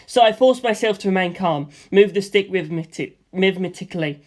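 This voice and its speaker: A man reading a first-person action story aloud in a lively way, voicing gunfire sound effects himself. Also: high voice, normal speed. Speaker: audiobook reader.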